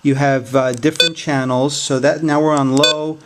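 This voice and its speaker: A man giving a casual, unscripted product review in a hesitant, halting way. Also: a little high, flat delivery, very noisy.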